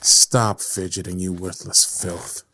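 A man playing a sadistic, mocking evil scientist in an audio drama, with a drawn-out, menacing delivery. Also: sits low, steady tone, pushed and bright, a little hiss or hum, heavily acted. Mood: contemptuous, gloating. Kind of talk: dramatic audio roleplay acting. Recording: music under the voice.

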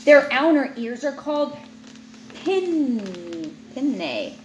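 A woman's voice: sits high, very animated, slow. A woman in her thirties reading a children's book aloud expressively, like a teacher, breaking off into playful, chatty asides. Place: indoors in an open room at home with high ceiling. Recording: roomy home recording with clear background noise.

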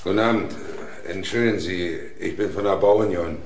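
A man acting a middle-aged, authoritative construction crew boss in a German radio crime play, brisk and commanding. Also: deep voice, background noise. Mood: businesslike. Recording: roomy, echoing sound.

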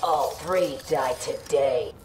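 A woman's voice: extremely low pitch, slow. An actress playing a cold, menacing female assassin in a video game, curt and threatening. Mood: cold menace. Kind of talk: video game character dialogue. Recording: echoey, distant, loud noise behind.

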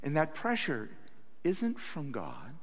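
Older pastor preaching in a reverberant church. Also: male voice, a little high, takes it slowly. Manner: animated preaching with sudden loud acted quotes. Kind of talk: sermon. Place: church sanctuary stage at a pulpit. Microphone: stand mic at the pulpit.

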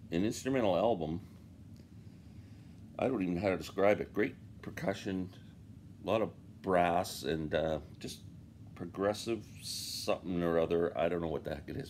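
Deep-voiced man chatting about records. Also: a little mellow, slight background noise. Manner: chatty, rambling, enthusiastic. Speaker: vinyl collector vlogger. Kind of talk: casual record show-and-tell. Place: home room with framed posters, indoors.

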